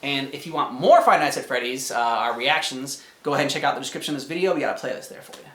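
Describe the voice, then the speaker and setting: A man's voice: medium pitch, animated, fast talker, fairly bright tone, reverberant. A man hosting a reaction video in casual, chatty style.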